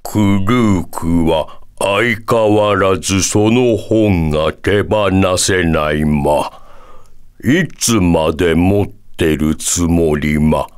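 A man voicing a solemn, long-lived devil in a Japanese video game, pompous and drawn-out, with a quirky verbal tic at sentence ends. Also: sits low, smooth. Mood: gently chiding.

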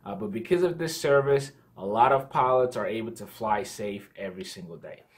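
A man's voice: on the low side, a little fast. Young man explaining casually to camera. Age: young adult. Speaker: host, pilot. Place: small indoor room at home.

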